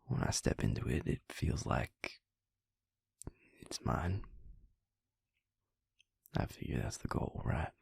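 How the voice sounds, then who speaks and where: A man playing a gentle, self-deprecating, protective vampire in an intimate audio roleplay, speaking in a gravelly, breathy voice with a halting, softly teasing delivery. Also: a bass voice, expressive, dry recording, studio quality, full character voice. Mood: soft, wry.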